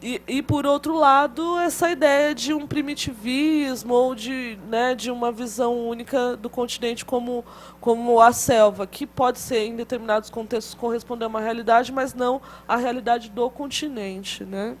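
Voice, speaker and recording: A woman with a fairly high voice lectures a class in a loose, conversational way, often checking in with tag questions and restarting her phrases. A faint background hum runs under the recording. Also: steady tone, moderate pace.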